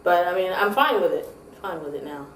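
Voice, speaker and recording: A woman in her late thirties speaks in a somewhat low voice, stumbling and restarting her phrases. She sounds distant from the microphone in an echoey room. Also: animated. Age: 30s. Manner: halting, self-correcting. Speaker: interviewee. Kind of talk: casual interview answers. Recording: roomy, distant mic, faint noise.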